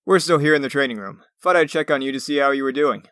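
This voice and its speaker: Guy playing a superhero squad boss in a comic dub, smooth and crisp, firm dad-like authority, dry sound. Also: mid-range voice, quick pace, bright, forceful tone, no echo, lightly acted. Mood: stern. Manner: authoritative, paternal. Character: firm, watchful superhero team commander.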